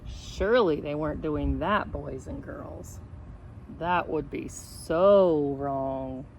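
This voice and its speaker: A woman reading a children's story aloud in a playful, expressive way, with asides addressed to the young listeners. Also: low-pitched, slow pace, a little hiss or hum, low-quality recording. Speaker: storybook reader.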